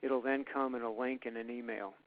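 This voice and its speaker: A man's voice: medium pitch, fairly even intonation, a little fast. A man moderating a webinar, hesitantly relaying audience questions with frequent uhs. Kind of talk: reading audience questions aloud. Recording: tinny phone-line audio.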